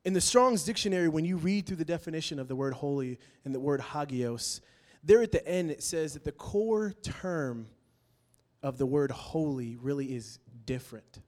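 A young male preacher gives a relaxed, conversational sermon. His voice sits a touch high for a man and moves a lot. He drops low and soft on serious points and jumps up loud when he jokes. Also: moderate pace. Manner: conversational and animated, jokes with the crowd.

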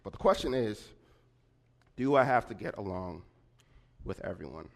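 A man telling a personal story in a sermon, with a slightly nasal voice and animated delivery, often checking in with his listeners. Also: moderate pace, creaky. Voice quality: slightly nasal and deeper than usual. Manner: animated storyteller with frequent "right?" check-ins. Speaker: preacher.

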